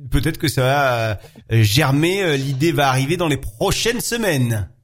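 A man's voice: medium pitch, expressive, moderate pace, soft background music. A man hosting a casual interview, joking around and using many fillers. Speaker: host.

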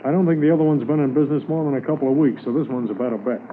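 An actor playing a brisk, methodical Mountie sergeant in a 1950s radio drama, businesslike and probing. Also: male voice, mid-range voice, a little hiss or hum, close to an everyday voice. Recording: muffled old 1950s radio recording.

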